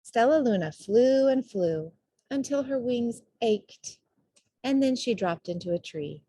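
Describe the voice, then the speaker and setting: A woman narrates a children's picture book at a slow pace. Her intonation is very lively, her tone slightly husky, and the sound is dry and close. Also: fairly high pitch, no echo. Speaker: storybook reader. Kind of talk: expressive children's storybook narration.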